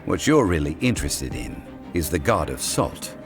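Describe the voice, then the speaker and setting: A man voicing a calm, dignified scholar in a video game, speaking in a measured, formal, old-fashioned way. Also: rumbling low, heavily acted. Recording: game audio with music behind.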